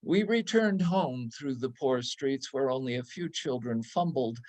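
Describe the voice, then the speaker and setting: A man giving a dramatic literary reading of a nostalgic story, savouring each descriptive phrase in a deliberate manner. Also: mid-range voice, lively intonation, a little mellow. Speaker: reader in a readers theatre.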